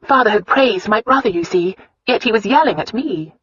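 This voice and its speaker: A woman voicing a spirited, candid young wife with an earnest, expressive way of talking in a romance audiobook. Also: mid-range voice, a little fast, husky, lo-fi sound, voice pushed up. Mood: matter-of-fact.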